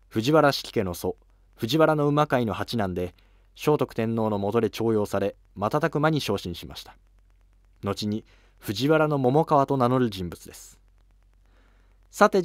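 A man's voice: medium pitch, no room sound, clean studio sound. A man giving scripted narration as the narrator of a history programme.

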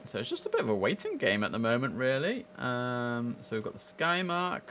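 A man's voice: mid-range voice, wildly swooping pitch, brisk, a bit bright, a little hiss or hum. A young man chatting casually and easily as he hosts a live gaming stream, speaking into a headset.

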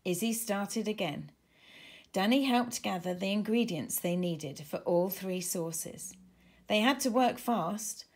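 A woman reading a children's storybook aloud in an expressive, deliberate way. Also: medium pitch, gravelly, husky. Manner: animated, deliberate. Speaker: author reading own storybook.

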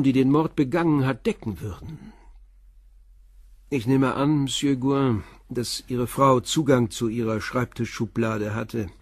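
A man playing a calm police inspector in a radio play, questioning witnesses in a measured, persistent way. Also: mid-range voice, breathy edge, dry recording, studio quality.

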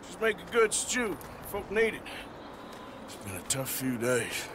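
A man's voice: high-pitched, big pitch swings, a little slow, heavy background noise. A man voicing a tough, dry-witted American outlaw gunslinger, sardonic and laconic, in a dramatic game cutscene. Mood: dry, weary.

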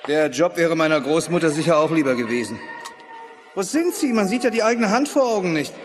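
Man acting a high-pitched, sardonic hired killer. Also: lively intonation, brisk, soft background music, natural voice. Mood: wary, sardonic. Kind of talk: audio horror drama acting. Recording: reverberant room, faint noise.

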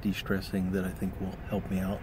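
Low-voiced man chatting while driving. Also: brisk. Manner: rambling, relaxed, frequent fillers. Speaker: vlogger. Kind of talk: casual chat to camera. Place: inside a car, driving. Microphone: camera on the dashboard. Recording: loud car and road noise.

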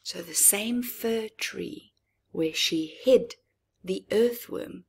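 A middle-aged woman reading a story aloud to young children, expressively, with dramatic pauses and chatty asides. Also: medium pitch, creaky, husky. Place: living room, indoors.

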